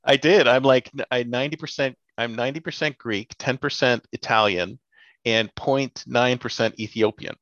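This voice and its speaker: A man with a gravelly, creaky voice chats casually and sarcastically, laughing now and then. The recording is dry and close, with a dull lo-fi sound. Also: mid-range voice, normal speed, a bit bright, no room sound. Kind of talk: casual chat.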